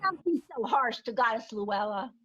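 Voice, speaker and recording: A woman's voice: fairly high pitch, animated, normal speed, a bit bright, slight background noise. A woman playing a timid, apologetic, sweet newcomer in a comedy table reading, hesitant and concerned, over a video call. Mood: meek. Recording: dull online video-call audio.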